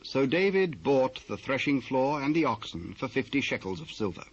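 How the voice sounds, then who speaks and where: British guy narrating the bible, formal and measured, kinda husky, dull old tape recording. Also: medium pitch, sing-song. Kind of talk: scripted dramatised Bible narration. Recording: muffled old cassette transfer.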